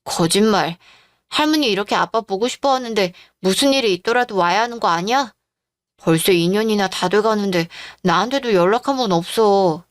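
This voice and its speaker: A woman's voice: medium pitch, moderate pace, dry recording, clean studio sound, close to an everyday voice. A woman playing an emotional teenage girl, attached to her family and resentful, in a radio-drama style story. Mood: hurt, resentful.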